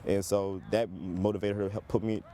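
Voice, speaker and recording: A young man in his late teens from Ivory Coast, a high school football player giving casual interview answers. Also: level tone, brisk, crowd noise. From born in Ivory Coast, Liberian family. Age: teens, high school senior. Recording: clear outdoor traffic and ambient noise.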